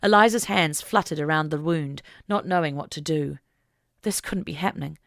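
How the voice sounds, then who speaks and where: A woman's voice: deep voice, moderate pace, gravelly, a bit bright, no room sound, clean studio sound. A woman narrating an audiobook story in a dramatic, scripted reading style.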